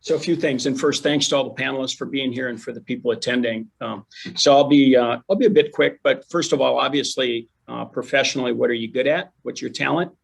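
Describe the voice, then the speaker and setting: A middle-aged man, a company chief executive, giving a brisk, confident introduction on a panel. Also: medium pitch, gravelly, husky.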